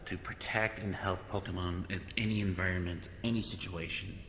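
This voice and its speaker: Gravelly, low man with bright edge. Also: fairly even intonation, a little fast. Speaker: player acting a guild member. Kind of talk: improvised online roleplay, conversational. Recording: noisy, echoey online voice chat.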